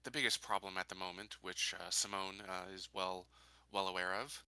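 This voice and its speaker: A man playing a tabletop roleplaying game, talking casually in halting, piecemeal phrases. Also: low voice, fairly even intonation, sharp tone, clean tone, no room sound.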